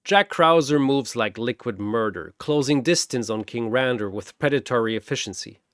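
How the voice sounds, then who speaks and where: A man's voice: mid-range voice, moderate pace, a bit bright, dry recording. Man narrating a dramatic battle story, dry sound. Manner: theatrical, punchy.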